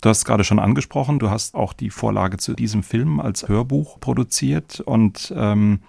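A man's voice: lowish voice, moderate pace, vocal fry, darkish tone, husky, no room sound, studio quality. A man hosting a podcast, moderating the conversation in an informal talking style. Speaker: podcast host.

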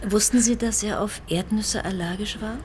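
An actress playing a composed, considerate police detective in a naturalistic crime drama. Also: female voice, mid-range voice, fairly even intonation, normal speed, a little hiss or hum, close to an everyday voice. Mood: gentle, official.

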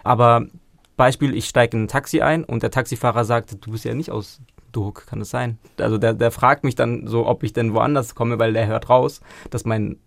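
A man's voice: medium pitch, steady tone, moderate pace, creaky, no room sound, clean studio sound. A man in a band, giving reflective interview answers and searching for words with frequent restarts. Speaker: band member.

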